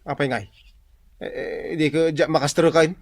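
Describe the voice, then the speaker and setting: A man's voice: a little high, steady tone, moderate pace, no room sound, close to an everyday voice. A man with an Ilocano accent playing a hapless, nervous drinking husband who whines and pleads in a comedy radio drama. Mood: pleading, whining. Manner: exclaiming, whiny, exaggerated comic delivery.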